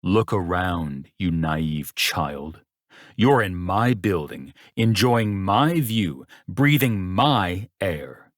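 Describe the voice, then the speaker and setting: A man's voice: low voice, expressive, moderate pace, dry recording, studio quality. A man voicing a smug, rich older businessman in an audiobook, talking down to someone in a condescending, contemptuous, taunting way. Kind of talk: audiobook character dialogue.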